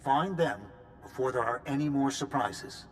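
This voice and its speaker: Resolute mid-pitched man playing a general. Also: normal speed, faint music behind, natural voice. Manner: authoritative, businesslike. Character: resolute male general briefing troops for war. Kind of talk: military briefing in video game.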